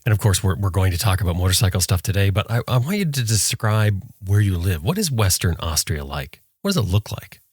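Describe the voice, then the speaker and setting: A man's voice: low-pitched, big pitch swings, brisk, few pauses, darkish tone, slightly rough, dry recording, studio quality. A man hosting a podcast interview, asking questions and reacting with short enthusiastic remarks. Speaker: podcast host. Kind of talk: casual interview conversation.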